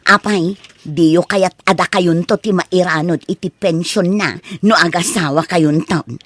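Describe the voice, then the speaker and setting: A woman's voice: fairly low pitch, measured pace, no room sound. A woman playing a stubborn, whining, jealous grown daughter in a comedy audio drama, speaking emphatically with an Ilocano accent. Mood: sulky plotting. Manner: emphatic, complaining, indignant.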